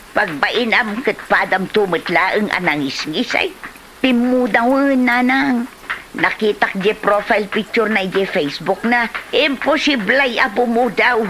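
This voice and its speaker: A woman's voice: fairly high pitch, a bit bright, slight background noise. A woman with an Ilocano accent playing a nagging, complaining, blunt village auntie in a comedy drama, full of exclamations. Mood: grumbling.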